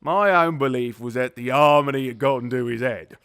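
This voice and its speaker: A man's voice: medium pitch, fairly quick, fairly bright tone, clean tone, lightly acted. A man playing a chatty, sly, working-class zookeeper in middle age, rambling folksily in an audio drama. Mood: sceptical. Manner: folksy, colloquial, rambling storyteller. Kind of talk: audio drama dialogue, character storytelling.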